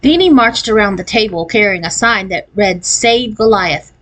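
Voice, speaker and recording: A woman narrating a children's novel in an expressive read-aloud style. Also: medium pitch, moderate pace, low-quality recording.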